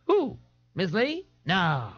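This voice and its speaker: A sly male bar worker from a comic novel. He talks in folksy slang with a sarcastic edge. His pitch is raised and jumps widely. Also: wildly swooping pitch, slightly rough, dull audio, exaggerated voice. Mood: slyly gleeful. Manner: drawn-out folksy slang, whooping. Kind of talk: audiobook character voice.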